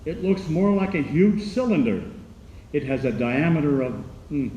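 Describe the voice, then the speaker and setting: A man's voice: fairly high pitch, lively intonation, mellow, muffled recording, lightly acted. A man playing an earnest radio commentator, reporting formally in an old-time radio drama news style. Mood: excited, awed. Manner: formal, deliberate announcing. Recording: echoey radio drama with background noise.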